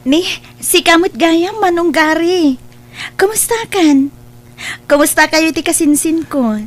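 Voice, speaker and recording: A woman's voice: extremely high pitch, unhurried. A woman playing a friendly, chatty young single woman in an audio drama. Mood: friendly, surprised. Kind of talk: Ilocano radio-style audio drama acting.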